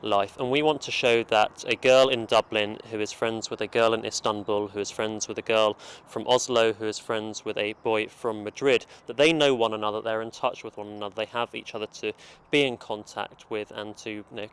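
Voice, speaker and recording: Guy running a youth program, low monotone, lots of um and uh, bit of noise behind. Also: moderate pace, smooth. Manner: thoughtful, with fillers. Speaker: program leader. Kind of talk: interview answers.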